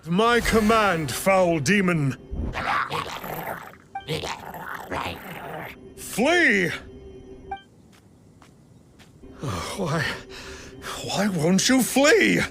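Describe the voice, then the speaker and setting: Self-important, cowardly video game charlatan: unhurried, grandiose speech, lively intonation. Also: male voice, mid-range voice, gravelly, over music, heavily acted. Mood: commanding, panicked. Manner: pompous, grandiose, hesitant, archaic phrasing. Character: pompous, cowardly fraud posing as an exorcist. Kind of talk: theatrical video game voice acting.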